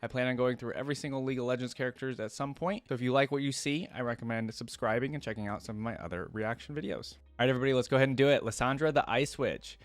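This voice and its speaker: Male reaction host, medium pitch, informal and conversational between read-aloud passages, dry recording. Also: rapid-fire, few pauses, no echo. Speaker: host of a video game lore reaction series. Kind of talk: casual reaction commentary mixed with reading aloud.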